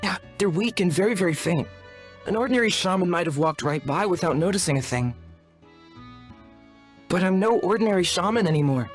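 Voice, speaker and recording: Earnest young shaman apprentice, higher-pitched. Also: animated, fairly quick, pauses often. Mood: earnest, proud. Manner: formal, polite, earnest. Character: earnest, courteous young male shaman apprentice, devoted and wordy. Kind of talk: video game voice acting. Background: music.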